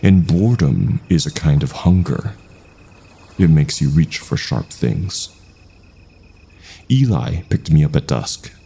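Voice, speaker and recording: A man with a gravelly voice reading a scripted first-person horror story in a measured, brooding manner. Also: rumbling low, animated, warm tone.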